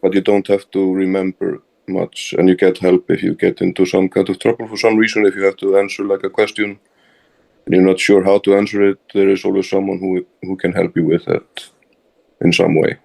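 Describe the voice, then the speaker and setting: Low, gravelly Icelandic-accented man. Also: little pitch movement, a little fast, darkish tone, rough recording. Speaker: interview guest, fraternity member. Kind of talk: casual interview answers.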